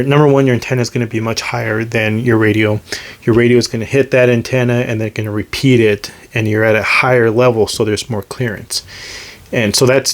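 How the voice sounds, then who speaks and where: A man giving a casual, hands-on gadget demonstration as host, talking in a hesitant, unscripted, self-deprecating way. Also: mid-range voice. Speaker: host demonstrating radio gear.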